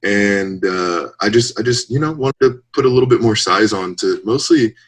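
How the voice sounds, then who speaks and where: A man in his late twenties talks openly as an interview guest, thinking out loud and laughing lightly between points. His voice sits a little low. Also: normal speed, husky. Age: 20s. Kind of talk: casual interview chat.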